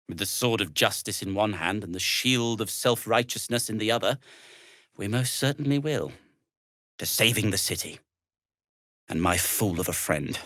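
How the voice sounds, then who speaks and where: A man's voice: medium pitch, a bit bright, no echo, studio quality. A man playing a fussy, loyal, long-suffering middle-aged gnome in a video game, hesitant and stammering, with wry, formal wording. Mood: urgent, determined. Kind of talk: video game character acting.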